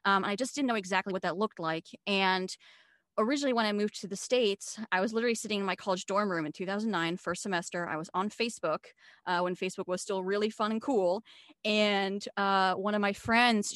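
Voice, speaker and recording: A woman's voice: medium pitch, a bit bright, no echo. Chatty Swiss-born woman, dry sound. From Switzerland. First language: not English. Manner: chatty, storytelling with fillers. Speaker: guest, video marketing coach. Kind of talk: casual interview chat.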